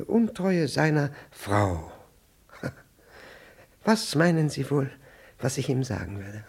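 An actor playing a flustered, pompous middle-aged diplomat, evasive and irritable, stammering and repeating himself in a 1960s German radio play. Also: male voice, mid-range voice, vocal fry, darkish tone, breathy edge, heavily acted. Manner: stammering, repetitive, blustering.

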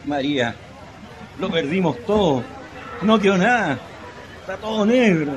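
A man's voice: sits high, expressive, measured pace, over music. A man playing an earnest, poor Chilean shantytown husband in an emotional radio drama. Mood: devastated. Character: desperate poor shantytown husband, consoling and resolute. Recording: noisy, with crowd ambience behind.

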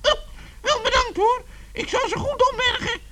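Shrill, childish, vain little creature. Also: male voice, normal speed, sharp tone, a little hiss or hum. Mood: proud, delighted. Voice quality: shrill, squeaky. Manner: petulant, naive, boastful. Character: childish, vain, stubborn little animal homeowner. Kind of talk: children's radio play acting.

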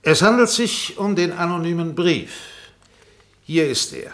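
A man's voice: on the high side, animated, a little slow, a bit bright. An actor playing an insistent, insinuating defence barrister in a German radio courtroom drama, formal and peremptory as he questions. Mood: pressing, peremptory. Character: relentless, insinuating male defence barrister cross-examining a witness.

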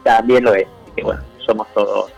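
A man's voice: on the low side, fairly even intonation, quick pace, creaky, darkish tone, lo-fi sound, faint music behind. A man from Venezuela, a band founder, answering interview questions hesitantly, with many restarts. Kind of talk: casual interview over a call.

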